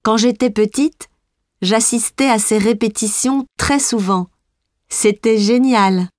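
A woman playing a slow-spoken young singer. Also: on the high side, no room sound, clean studio sound. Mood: friendly. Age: young adult. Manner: slow, clear, carefully articulated. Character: young female Belgian pop singer. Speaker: interviewee. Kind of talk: scripted exam dialogue.

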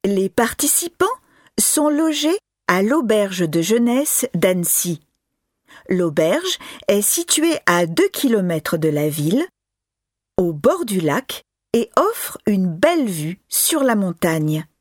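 A woman reading an information notice slowly and clearly as the reader of a language listening exam. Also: mid-range voice, sing-song, darkish tone, no echo, studio quality. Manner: slow, deliberate, clearly articulated.

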